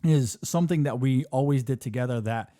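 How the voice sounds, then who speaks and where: A man's voice: mid-range voice, fairly quick, warm tone, dry recording, studio quality. A man from Michigan hosting a podcast in casual chat, telling a personal story earnestly, often repeating words as he goes. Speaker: podcast host.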